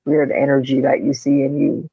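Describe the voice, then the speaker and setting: Creaky-voiced woman on a dull mic. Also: very deep voice, flat delivery, normal speed, no room sound. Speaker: podcast co-host. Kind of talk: casual chat. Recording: dry, slightly dull lo-fi mic.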